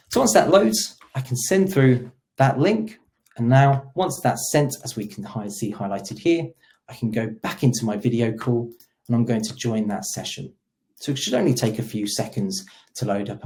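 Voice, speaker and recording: Male product demo presenter, soft dark tone, conversational step-by-step explaining. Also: medium pitch. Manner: explanatory, role-plays agent dialogue. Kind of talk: software demo walkthrough, conversational.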